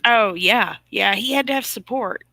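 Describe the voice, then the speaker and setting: A woman's voice: a little high, moderate pace, creaky, pushed and bright, no room sound. A woman hosting an online broadcast in casual, opinionated chat, emphatic and fond of rhetorical questions.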